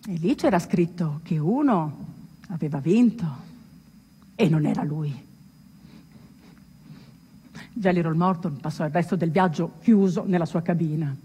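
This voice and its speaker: In a stage monologue, a woman plays a ship's musician retelling his friend's legend, emphatic, with long suspenseful pauses and sudden outbursts. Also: on the low side, warm tone. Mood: wistful. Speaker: stage actor. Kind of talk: theatrical monologue, dramatic storytelling. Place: theatre hall, live stage performance. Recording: reverberant hall recording with clear background noise.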